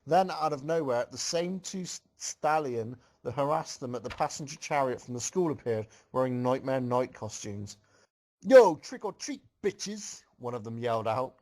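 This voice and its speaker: A man's voice: mid-range voice, moderate pace, hardly any pauses, poor audio. A man reading a story aloud as an amateur reader for a comedy group, with casual asides.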